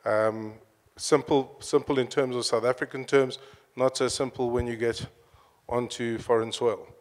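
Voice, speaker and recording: Flat-toned businesslike man in a hall. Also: medium pitch, a little slow. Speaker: panelist. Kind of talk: panel introduction. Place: large conference hall. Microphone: handheld mic. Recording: long hall reverb.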